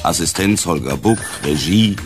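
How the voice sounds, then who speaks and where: A male announcer reads scripted credits for a radio programme. His voice is low, and music plays clearly behind him. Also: expressive, normal speed. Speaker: closing announcer.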